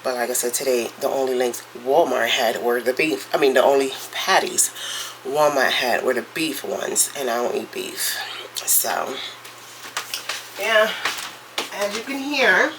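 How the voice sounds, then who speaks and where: A woman's voice: a bass voice, animated, background noise. A woman with a stuffy, congested voice from a cold, chatting casually as a vlogger while she cooks at home. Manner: chatty, rambling, drawn-out storytelling. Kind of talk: casual morning vlog chat. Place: home kitchen, cooking.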